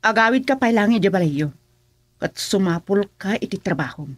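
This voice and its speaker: A woman's voice: medium pitch, animated, moderate pace, no echo, lightly acted. A woman playing a fed-up wife in a radio drama comedy, with an Ilocano accent. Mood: exasperated. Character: fed-up wife giving an ultimatum to her husband.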